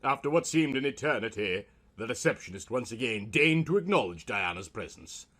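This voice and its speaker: A man's voice: mid-range voice, expressive, fairly quick, fairly bright tone. A man narrating a scripted comic story in a melodramatic, mock-serious manner.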